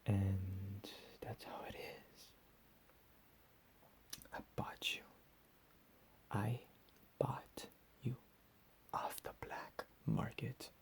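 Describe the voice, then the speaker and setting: A man playing a deranged, menacing captor in a whispered ASMR roleplay, profane and slow with drawn-out emphasis. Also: on the low side, steady tone, creaky, full character voice. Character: deranged menacing male captor, recently escaped asylum.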